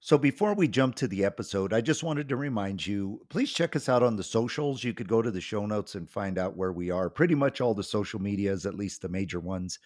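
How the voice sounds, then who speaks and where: Low-voiced man with a cold. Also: brisk, hardly any pauses. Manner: relaxed, rambling, many ums. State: has a cold, voice may crack. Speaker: podcast host; pastor and author. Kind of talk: casual podcast chat.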